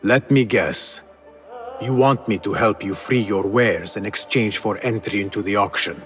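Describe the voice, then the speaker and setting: An actor playing a guarded, determined young former thief, speaking in a terse, serious way in a video game. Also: male voice, lowish voice, unhurried, fairly bright tone. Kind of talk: video game cutscene acting. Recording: music behind the voice.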